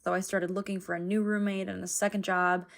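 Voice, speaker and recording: Anxious young animated rideshare passenger, played by a woman: mid register, creaky fry, candid, rambling confessional delivery. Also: mid-range voice, a little fast, close to an everyday voice. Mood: candid, rueful. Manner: rambling, self-deprecating, candid. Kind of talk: naturalistic confessional conversation.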